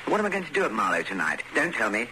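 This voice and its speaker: An actor playing a mild, agreeable, hesitant sidekick in a radio comedy-drama. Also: male voice, fairly low pitch, wildly swooping pitch, rapid-fire, pushed and bright, heavily acted. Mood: bemused. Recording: noisy radio drama recording.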